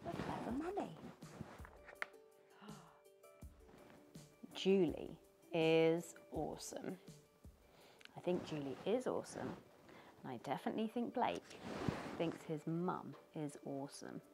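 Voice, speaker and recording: A woman's voice: low voice, very animated, vocal fry, over music. A middle-aged British woman reading a picture book aloud to children in a living room, asking them questions as she goes. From United Kingdom. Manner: slow, sing-song storytelling with questions to young listeners.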